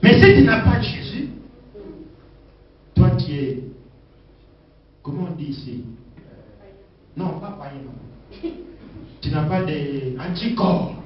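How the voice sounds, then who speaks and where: A man from Africa preaching a fervent Pentecostal sermon with call and response, swinging between loud exclamations and quiet asides. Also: fairly high pitch, big pitch swings, takes it slowly, pauses often, slight background noise. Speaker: pastor. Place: church hall during a worship service. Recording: echoey hall, distant dull mic, congregation.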